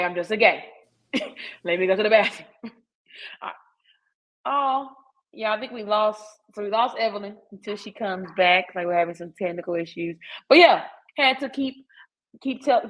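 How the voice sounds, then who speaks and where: A woman's voice: mid-range voice, moderate pace, a bit bright, rough recording. A woman hosting a podcast, talking in a chatty, frank way with laughs and filler words. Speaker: podcast host. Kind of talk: casual podcast chat.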